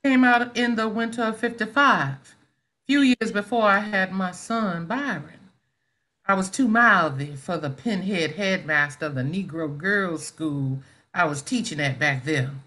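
A woman's voice: lowish voice, measured pace, pushed and bright. Woman acting a pointed middle-aged schoolteacher. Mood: reminiscing, polite. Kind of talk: staged play reading over video call. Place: indoors, on a video call. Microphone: video call microphone.

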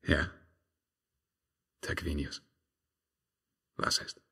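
An actor playing a brooding, tormented emperor in a 1950s German radio drama, husky, solemnly declaiming between breathy hush and fervour. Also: male voice, heavily acted. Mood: grave, hushed. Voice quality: husky, with breathy drops into whisper. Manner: solemn, measured, swelling from hushed to fervent. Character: brooding Byzantine emperor, tormented, rapturous, newly freed from enchantment. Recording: 1950s radio play recording.

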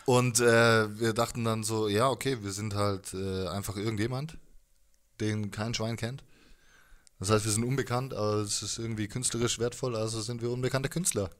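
A man's voice: on the low side, steady tone, moderate pace. Slangy, rambling man in casual interview. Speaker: musician, interview guest. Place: living room music space, indoors. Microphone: handheld microphone.

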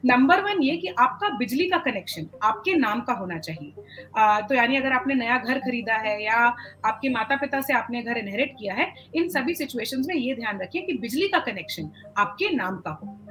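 A woman's voice: on the high side, animated, moderate pace, fairly bright tone, low-quality recording. A young woman with an Indian accent, explaining informatively as an expert guest on a video call and mixing in English words. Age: young adult. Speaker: solar company representative, expert guest. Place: indoor furnished room.

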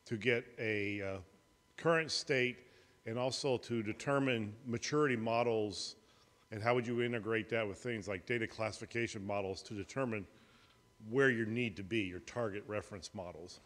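Lower-voiced man asking a question in a hall. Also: normal speed, fairly bright tone. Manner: long, winding question. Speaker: audience member asking a question. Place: conference hall, audience mic. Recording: reverberant hall.

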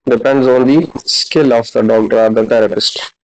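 A man in his thirties giving a halting, instructional practical demonstration as a physiotherapy teacher. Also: medium pitch, steady tone, darkish tone. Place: large indoor classroom clinic room. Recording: dull, lo-fi camera audio.

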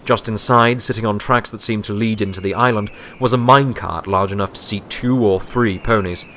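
A man's voice: fairly low pitch, fairly even intonation, fairly quick, fairly bright tone, slight background noise. A man reading scripted story narration as an audiobook narrator.

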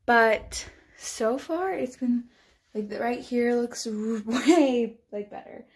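A woman's voice: a little high, takes it slowly, darkish tone, breathy edge. A young woman filming a chatty clean-with-me vlog in her bedroom on a phone camera, bubbly and a little out of breath. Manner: bubbly, chatty, says "like" often. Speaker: vlogger. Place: small bedroom, indoors. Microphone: phone camera moved around the room.

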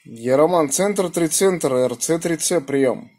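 A man with a slightly husky voice, chatting casually in slangy speech and giving call signs as an amateur radio operator, recorded indoors on a phone. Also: a little high, slow pace, a little mellow, a little hiss or hum. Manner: colloquial, slangy. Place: small room indoors beside radio equipment. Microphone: phone held near the radio.

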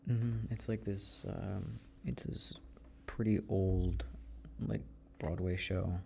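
A young man in an ASMR boyfriend roleplay, muttering softly and distractedly to himself as he works, half in a whisper. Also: low voice, fairly even intonation, slow, creaky, slight background noise. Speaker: audio roleplay performer.

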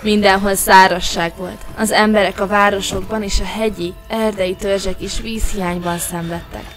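A woman narrator reading a scripted fairy tale for an animated story. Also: medium pitch, music in the background.

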